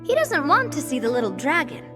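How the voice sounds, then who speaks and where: A knowing fairy-tale castle creature in a game. The voice is high and very lively in pitch, frank and expressive, over music. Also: female voice, fairly quick. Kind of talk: animated video game acting. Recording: music behind the voice.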